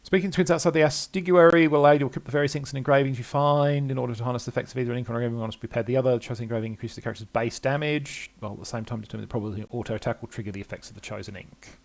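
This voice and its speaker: An older man giving casual let's-play commentary, musing to himself and reading game text aloud in a low-key way. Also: fairly even intonation, very fast, creaky, hardly any pauses. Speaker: game streamer.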